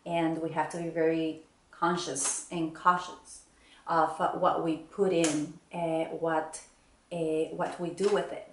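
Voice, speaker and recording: A woman from Bolivia answering interview questions reflectively, pausing often with hesitant fillers. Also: low-pitched, flat delivery, echoey room, slight background noise.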